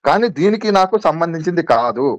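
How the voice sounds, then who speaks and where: A man's voice: a little high, animated, fast talker, dry recording. A man being interviewed on a recorded call, protesting insistently and repeating himself as he defends his position.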